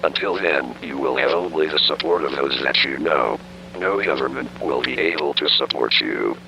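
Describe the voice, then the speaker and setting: Solemn disaster announcer, male actor, extremely low with gravelly fry, formal scripted read, faint broadcast hum. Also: lively intonation, normal speed, pushed and bright, highs cut off, exaggerated voice. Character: grave emergency broadcaster reporting national collapse. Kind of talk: scripted emergency broadcast narration.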